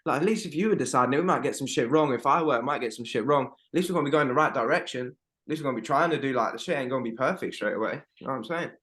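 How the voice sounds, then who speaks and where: A man's voice: mid-range voice, rapid-fire, fairly bright tone. Man, 20s, podcast co-host, rambling, emphatic, swears a lot, video call from home. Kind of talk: casual chat.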